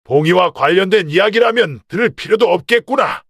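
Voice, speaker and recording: A man's voice: high-pitched, expressive, a little fast, fairly bright tone, no echo, studio quality. A man playing a shrewd, proud merchant father in an old folktale, with old-fashioned, authoritative speech. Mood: stern, suspicious. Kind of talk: acted folktale dialogue.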